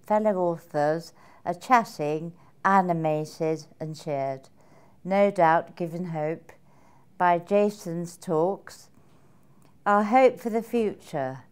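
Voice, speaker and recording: A middle-aged woman giving a personal testimonial in a halting, reflective, wordy way. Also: fairly low pitch, darkish tone, clear, resonant tone. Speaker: seminar attendee giving a testimonial.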